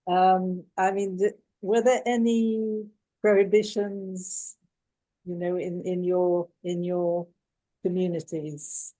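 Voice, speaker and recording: A woman's voice: on the low side, level tone, slow pace, mellow, rough recording. A woman hosting a talk, politely thanking the speaker and putting questions to him with some hesitation. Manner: hesitant, polite, many fillers. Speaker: host, moderator.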